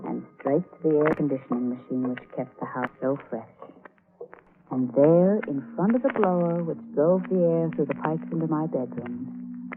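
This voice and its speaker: An actress playing an anxious, haunted wife in a 1940s radio thriller, halting and stammering with breathless dramatic delivery. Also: female voice, sits low, noisy background, natural voice. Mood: haunted narration. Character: anxious, haunted wife slowly unravelling with dread. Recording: tinny vintage radio recording with music.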